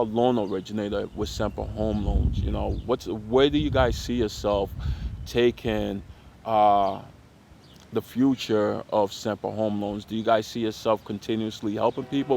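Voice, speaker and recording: Low-voiced male host, faint hiss. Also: fairly even intonation, normal speed, creaky, a little mellow. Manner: constant "mm-hmm" backchannels, rambling. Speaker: podcast host, real estate agent. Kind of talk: casual interview hosting.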